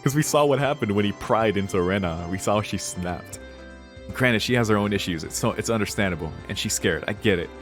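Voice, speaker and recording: A very low-voiced man streams a visual novel, switching between reading the text and informal reactions. He swears freely and sounds invested in the story, with faint music behind him. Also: brisk. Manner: foul-mouthed, informal, emotionally invested. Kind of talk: casual let's play commentary mixed with reading game text aloud.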